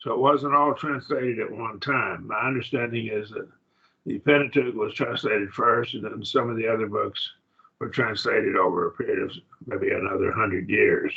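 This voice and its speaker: A man teaching a college-level Bible study class in a plain, halting lecture with restarts and asides. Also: lowish voice, fairly even intonation, vocal fry, muffled recording.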